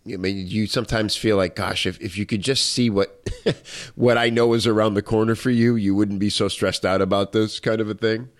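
Enthusiastic male podcast host, lower voice. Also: fairly even intonation, normal speed. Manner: enthusiastic, rambling, lots of fillers. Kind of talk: interview conversation.

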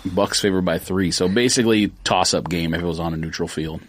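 A man's voice: sits low, a little fast, slight background noise. A man co-hosting a podcast, chatting casually about football picks, analytical and laughing often. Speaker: podcast co-host. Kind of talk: casual sports chat.

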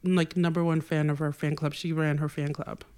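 A woman's voice: low-pitched, normal speed, smooth. A woman from Boston, a podcast guest telling stories in casual chat with many "like" fillers. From Boston, Massachusetts. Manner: animated storyteller, many "like" fillers.

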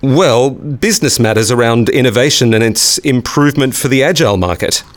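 An actor playing a businesslike department head chairing a meeting in a radio play, brisk, procedural and officious. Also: male voice, mid-range voice, lively intonation, natural voice.

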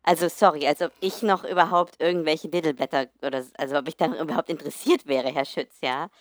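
A man's voice: on the high side, fairly even intonation, quick pace, dry recording, studio quality, natural voice. A man voicing a snotty, dismissive schoolgirl acting cool in an improvised comedy audio play, scornful and offhand.

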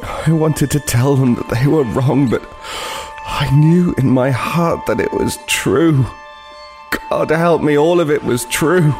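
An actor playing a haunted, remorseful homeless killer, narrating a horror audio drama gravely and with anguish. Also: male voice, a little high, slow, darkish tone, faint music behind.

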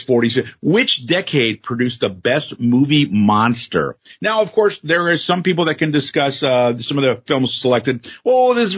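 A man co-hosting a casual wrestling podcast, jokey and animated, with frequent fillers and self-corrections. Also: a little high, breathy edge, dry recording, lo-fi sound. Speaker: podcast co-host.

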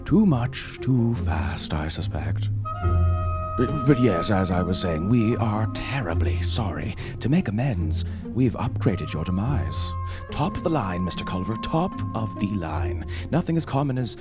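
A man performs a smooth corporate vice president from an audio story. His low voice is chatty and unctuous, full of over-polite apology and salesman's patter. Music plays clearly underneath. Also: sing-song, a little slow.

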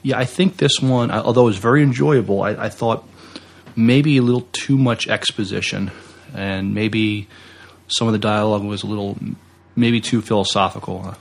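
A man with a fairly low, creaky voice co-hosts a podcast. His talk is casual and a little halting, with phrases restarted as he goes. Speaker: podcast co-host. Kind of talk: casual unscripted podcast chat.

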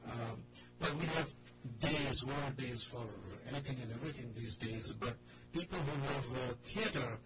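A man's voice: mid-range voice, normal speed. Male radio host, Indian accent, mid-range voice, loose and hesitant delivery, noisy studio recording. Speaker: radio drama club host. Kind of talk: loose, informal radio talk show. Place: radio studio with a group in the room.

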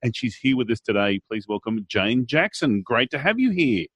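A male interview host with a lively, expressive pitch asks his guest curious, encouraging questions. The sound is dry and close. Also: normal speed, breathy edge, no echo, highs cut off. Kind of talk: hosting an interview.

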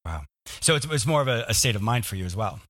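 A man's voice: mid-range voice, expressive, fast talker, fairly bright tone, no echo, studio quality. A man hosting an interview show, moving between scripted introductions and conversational questions. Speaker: podcast host and interviewer.

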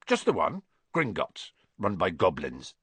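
A man voicing a gruff, good-natured giant from a storybook, proud and loyal, with folksy clipped speech. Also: deep voice, huge pitch swings, unhurried, fairly bright tone, dry recording, voice pushed down, full character voice. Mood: reassuring, proud. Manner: folksy, clipped casual speech, grunting and sleepy at first.

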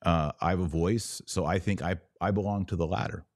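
Male podcast host, middle-aged, deep voice, dry close-mic sound, emphatic deliberate delivery. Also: steady tone, no room sound. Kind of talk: religious commentary podcast. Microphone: close studio microphone.

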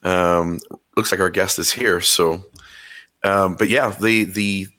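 A man hosting a podcast in casual chat, his voice a little hoarse and crackly, talking haltingly with many fillers. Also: deep voice, steady tone, sharp tone. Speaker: podcast host.

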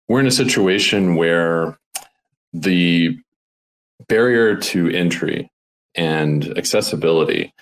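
Very deep-voiced man speaking quickly. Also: poor audio. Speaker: speaker in the discussion. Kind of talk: casual discussion.

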